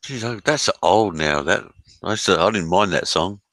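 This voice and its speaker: A man's voice: sits low, lively intonation, normal speed, a bit bright, dry recording. A man hosting a live stream from his laptop, chatting casually in a laid-back, rambling way. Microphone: laptop mic.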